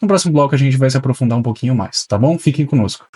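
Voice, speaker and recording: A man hosting a radio podcast, leading segments briskly and affirming his guest. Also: medium pitch, lively intonation, warm tone, slightly rough. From not from the local region. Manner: friendly, affirming, brisk segues. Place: radio studio.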